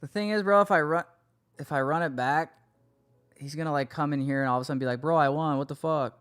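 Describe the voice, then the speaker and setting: A man's voice: fairly high pitch, fast, fairly bright tone, clear, resonant tone. A man hosting a livestream, chatting casually with his viewers in a slangy, joking way and swearing freely. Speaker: livestream host.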